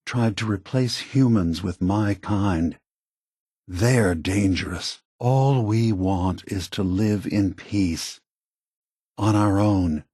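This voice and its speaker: An actor playing a gentle, weary old male android leader in a video game, husky and soft-spoken, with a measured, contemplative, earnest manner. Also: fairly low pitch, dry recording, studio quality, heavily acted. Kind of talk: video game character dialogue.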